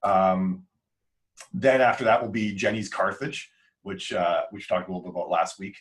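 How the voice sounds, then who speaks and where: Deep voiced guy on a podcast, rambly, keeps interrupting himself, very casual. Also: fairly even intonation, normal speed. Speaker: author, podcast crew member.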